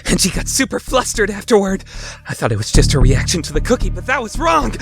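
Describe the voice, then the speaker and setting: A man's voice: high voice, big pitch swings, brisk, creaky, over loud music, full character voice. A man voicing an awkward, earnest teenage schoolboy with a crush in an anime fandub, hesitant and easily flustered. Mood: panicked, embarrassed. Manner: flustered, hesitant, overthinking monologue. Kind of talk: anime fandub radio play.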